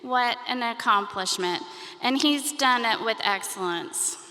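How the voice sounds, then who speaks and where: A woman with a bright, forceful, fairly high voice presents an honor at an awards dinner. She sounds upbeat and adds light asides, and her voice rings with long reverb in a banquet hall. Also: measured pace.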